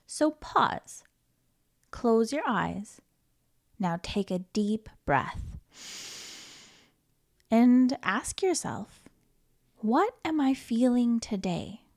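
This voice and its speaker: A woman reading a children's picture book aloud in a gentle, playful, reassuring way, sometimes giggling. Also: fairly high pitch. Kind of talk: read-aloud storytime.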